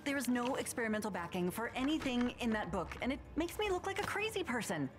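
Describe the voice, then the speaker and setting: A woman's voice: on the high side, sing-song, fast talker. A woman playing a flustered, skeptical scientist in a comedic game cutscene, indignant and pleading. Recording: music under the dialogue. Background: music.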